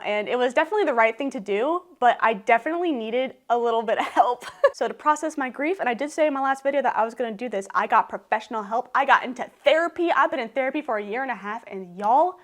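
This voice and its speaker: A thirty-year-old woman, chatting to the camera in her living room, emotional and laughing as she talks. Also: a little high. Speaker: YouTuber. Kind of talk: casual vlog talk to camera.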